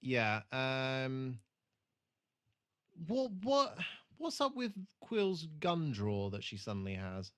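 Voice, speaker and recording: A man's voice: mid-range voice, big pitch swings, slow pace, fairly bright tone, clear, resonant tone. A man co-hosting a podcast, chatting casually and swearing freely about a TV show. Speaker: podcast host.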